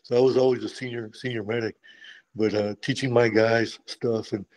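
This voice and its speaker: A retired army medic from Texas, telling stories in a relaxed interview with many restarts and a habit of saying "man". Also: mid-range voice, normal speed, poor audio. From Texas, USA. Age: older. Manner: frequent restarts and repeated words, says "man" often. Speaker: guest, retired Special Forces medic. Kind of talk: conversational interview storytelling.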